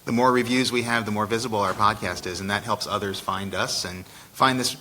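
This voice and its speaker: A man's voice: fairly low pitch, steady tone, brisk, pushed and bright, slight background noise, low-quality recording. A man co-hosting a live podcast recording, interviewing a guest and giving friendly, easygoing closing announcements. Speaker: podcast co-host. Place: conference room before a live audience.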